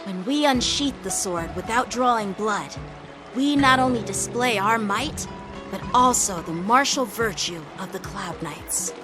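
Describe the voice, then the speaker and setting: A woman's voice: medium pitch, lively intonation, a little slow, lightly acted. A woman voicing an earnest, eager teenage boy, a proud young knight in a video game. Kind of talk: English video game voice acting. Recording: music behind the voice. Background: game soundtrack music.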